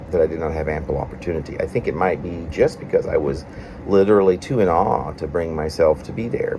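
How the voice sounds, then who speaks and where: Male poet, deep voice, animated pitch movement, reflective halting read of own confessional work, faint hum behind. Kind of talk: reading a confessional prose-like poem aloud.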